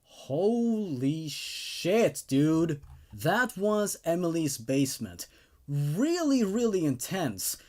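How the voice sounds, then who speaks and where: Expressive man telling and reviewing horror stories. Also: on the high side, extremely slow. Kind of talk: dramatic horror narration and casual enthusiastic review.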